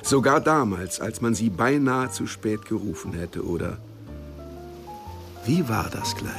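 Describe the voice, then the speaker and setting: A man's voice: on the low side, lively intonation, a little slow, music in the background. A man playing a genial, cultivated doctor in a radio drama, teasing and coaxing in an educated manner.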